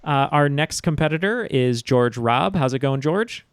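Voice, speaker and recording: A man's voice: a little high, expressive, a little slow, smooth, no echo. A man hosting a casual trivia podcast, chatty and full of "uh" fillers. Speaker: podcast host. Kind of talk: casual quiz show hosting. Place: home, Chicago.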